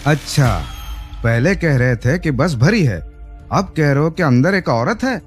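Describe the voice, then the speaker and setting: A man's voice: a little high, lively intonation, unhurried, breathy edge, faint music behind. A man voicing an irritated, dismissive bus conductor in a cartoon, mocking and exasperated. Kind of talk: cartoon voice acting.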